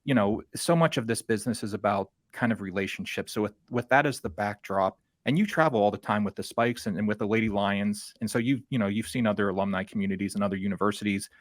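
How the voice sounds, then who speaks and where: Podcast host guy, lowish voice, super dry sound, chatty and rambles into his questions. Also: fast, few pauses, dry recording. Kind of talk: casual conversational interviewing.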